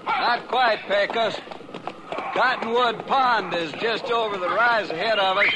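A very high-pitched male voice as a stubborn, confident American trail boss in a radio western. The old recording is loud with noise and outdoor herd effects. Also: a little slow. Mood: firm, confident. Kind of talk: 1950s radio western acting.

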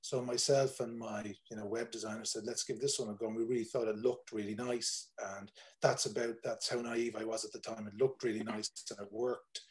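A man's voice: on the low side, flat delivery, a little fast. Rambling Irish man on a video call. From Ireland. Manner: rambling, many fillers and restarts. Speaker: tour operator guest. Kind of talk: casual video call interview. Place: home room, indoors.

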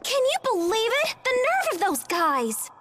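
A scornful, girlish game character. Her voice is very high and swoops with bratty indignation. Noise is clearly heard behind her. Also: huge pitch swings, unhurried, full character voice. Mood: indignant. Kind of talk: English game voice acting.

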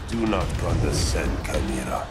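A man's voice: rumbling low, animated, normal speed. A man acting a haughty, imperious godlike supervillain in a video game, with formal, pompous speech. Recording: loud music behind.

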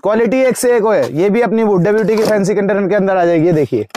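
A young man in a crowded cosmetics shop, rattling off wholesale prices per dozen and per piece in a rapid sales patter. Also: high voice, dark tone, a little hiss or hum. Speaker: wholesale shopkeeper. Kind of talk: sales pitch to camera.

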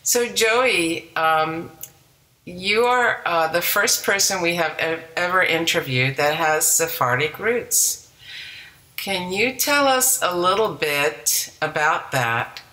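A woman hosting a radio interview show, asking questions in a calm, measured way. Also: lowish voice.

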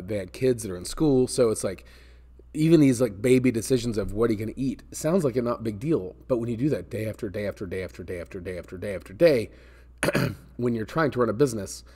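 Male, American, 40s, slightly low voice; conversational coach delivering casual advice with emphatic repetition. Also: mellow, slightly rough. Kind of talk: casual advice talk to camera. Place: indoor office space with metal ceiling and glass garage door.

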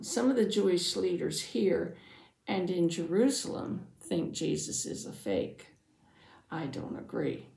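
A woman voicing a devout, anxious father who earnestly tells his Bible story, dramatically, with hushed phrase endings. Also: lowish voice, creaky. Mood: confiding. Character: devout anxious father, synagogue leader, telling his testimony. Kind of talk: first-person Bible storytelling for families. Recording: roomy, echoing sound.

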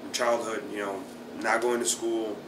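Thirties male fighter, low and fairly monotone, easygoing with many fillers; recorded far off in a reverberant room with noise. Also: normal speed. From Brazil, raised in South Florida. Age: 30s. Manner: relaxed, many fillers like uh and you know. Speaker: jiu-jitsu fighter and instructor. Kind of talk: casual interview answers. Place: small plain room indoors, seated in office chair. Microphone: camera at a distance. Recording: echoey room, distant mic, background hum.

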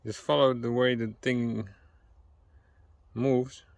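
A man's voice: medium pitch, level tone, slow, vocal fry, dry recording. A man whose first language is Dutch, chatting playfully while walking in a forest and searching for English words. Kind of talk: casual chat. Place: outdoors in a forest.

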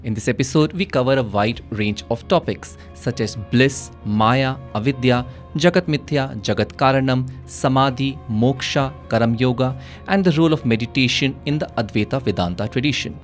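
A man's voice: medium pitch, normal speed, few pauses, soft background music. A man in his thirties hosting a podcast, reading a scripted intro and asking interview questions in a studio. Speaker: podcast host. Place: studio with dark wall. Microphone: studio mic with headphones.

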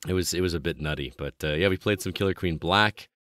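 A man hosting a video game podcast in casual chat, his voice slightly hoarse, upbeat and chatty, often trailing off low at phrase ends. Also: quick pace, a bit bright, dry recording, clean studio sound. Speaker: podcast host.

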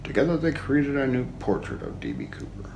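Male ASMR narrator, heavy fry, very wide swings in pitch, hushed and deliberate scripted delivery. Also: wildly swooping pitch. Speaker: ASMR role-play performer. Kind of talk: ASMR, scripted mystery narration role play. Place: small indoor room. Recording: faint background noise.

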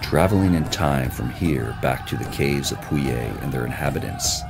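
A man reading scripted travel documentary narration in a measured, even way, recorded as a studio voice-over. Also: rumbling low, vocal fry. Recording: narration over loud music.

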